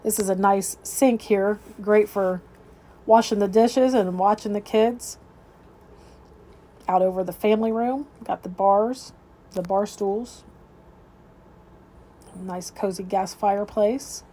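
A woman's voice: mid-range voice, steady tone, slow pace, pauses often, dark tone, slight background noise. A woman real estate agent narrating an informal, unscripted walkthrough of a home, describing each room as she moves through it. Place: indoors, moving through rooms of a house.

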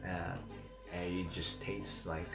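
A man's voice: low voice, flat delivery, takes it slowly, loud music behind the voice. A man in his thirties from Zambia, with a Zambian accent, hosting a home cooking demonstration in a small kitchen. He talks in a chatty, encouraging way, with plenty of fillers and questioning "mm?" tags. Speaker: cooking channel host.

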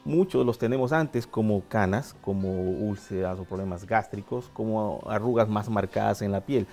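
A man in his forties, a doctor guest on a TV health show, explaining treatments in a steady, methodical way with a lapel mic in a studio. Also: fairly low pitch, moderate pace, soft background music. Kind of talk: medical explanation.

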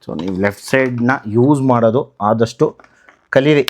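A man's voice: mid-range voice, moderate pace, a little mellow. A man from Karnataka, India, talking casually as a vlogger through a hands-on tutorial, explaining by posing questions and answering them. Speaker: motovlogger, channel host.

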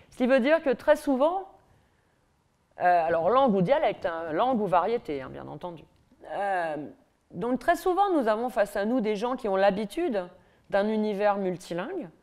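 A middle-aged woman with a Parisian accent giving a university lecture from a lectern in a large hall, lively and ironic, with mocking asides. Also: mid-range voice. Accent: Parisian French.

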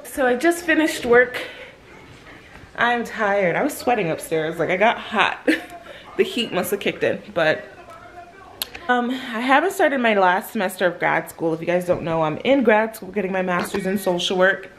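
A woman's voice: medium pitch, animated, moderate pace, fairly bright tone, a little hiss or hum. A young woman, chatting casually to camera at home in a rambling vlog, with lots of fillers. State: slightly nasal, a bit congested. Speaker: vlogger. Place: living room and kitchen at home. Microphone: handheld camera at arm's length.

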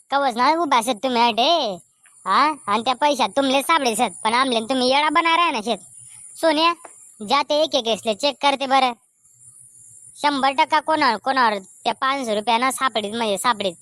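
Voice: high voice, normal speed, bright, forceful tone, no echo, studio quality. A performer voicing a pushy, suspicious villager in a comedy cartoon, with a Khandeshi Ahirani accent. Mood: accusing. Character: pushy, suspicious villager demanding lost money back.

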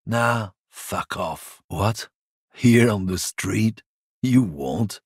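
Hostile bigoted lorry driver, game character, husky, slow drawl, swearing, dry studio recording. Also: male voice, fairly low pitch, expressive, dry recording, exaggerated voice. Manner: sneering, contemptuous, swears constantly. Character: surly bigoted male lorry driver, middle-aged, hostile. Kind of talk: video game voice lines.

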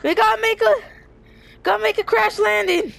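High-voiced gamer, dramatic improvised roleplay in short phrases, light background noise. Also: fairly even intonation, moderate pace, creaky, fairly bright tone. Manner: exclamatory, acts out the action. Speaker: player recording a game roleplay video.

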